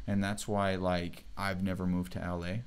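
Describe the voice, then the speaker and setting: Casting: young male host, very low voice, loose rambling chat with frequent laughs. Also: flat delivery, normal speed, clean tone. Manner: laid-back, rambling, laughs often. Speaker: show host. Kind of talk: casual livestream chat.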